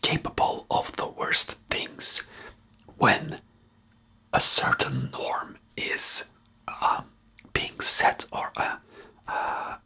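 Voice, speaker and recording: An ASMR host who speaks in a breathy whisper with a non-native English accent, rambling hesitantly and searching for words while giving commentary. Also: extremely slow, pauses often, bright, forceful tone. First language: not English.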